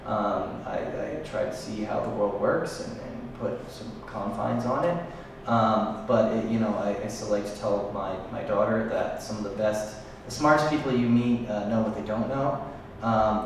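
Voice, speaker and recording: A middle-aged man speaking as a panelist, reflective and halting with many fillers. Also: on the low side, steady tone. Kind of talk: panel talk to a live audience. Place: large room at a live event. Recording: echoey hall, speaking from far off, noisy.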